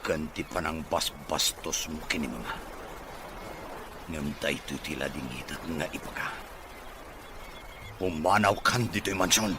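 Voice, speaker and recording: Very deep-voiced, wounded rich young man acted by a man. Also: measured pace, pauses often, background noise. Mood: angry, accusing. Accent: Ilocano. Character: wealthy young man, hurt and accusing, mansion owner. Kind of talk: dramatic audio serial acting.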